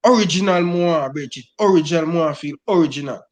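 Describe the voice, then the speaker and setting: High-voiced Jamaican man ranting. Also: brisk. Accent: Jamaican Patois. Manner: emphatic, repeats "brother" constantly. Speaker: football fan guest. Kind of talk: impassioned fan rant.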